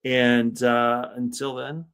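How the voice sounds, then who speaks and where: A man's voice: medium pitch, level tone, slow, a bit bright, clean tone. A man hosting an interview podcast, upbeat and chummy, often calling his guest "man.". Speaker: podcast host.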